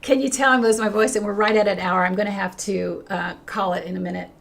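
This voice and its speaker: An older woman is talking on her own livestream, her voice worn hoarse and fading. She speaks with earnest emphasis, often stopping to restart a phrase, and her pitch lifts brightly when she greets people in the chat. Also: steady tone, fast talker. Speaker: pastor hosting a livestream. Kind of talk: unscripted livestream talk, reading chat comments.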